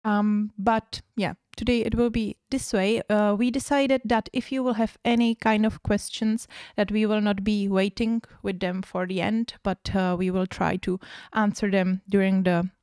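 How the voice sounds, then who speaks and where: A woman's voice: mid-range voice, level tone, moderate pace, hardly any pauses, a little mellow, smooth, no room sound. Woman hosting a livestream, lots of ums, dead dry sound. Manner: hesitant, many fillers. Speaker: co-host of a livestream. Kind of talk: casual livestream chat.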